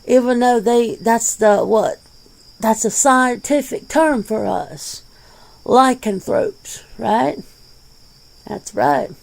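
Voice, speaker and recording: A woman voicing a laid-back, plain-spoken werewolf in an ASMR interview roleplay, talking in an unhurried, folksy, casual way. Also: on the high side, gravelly, a little mellow, full character voice.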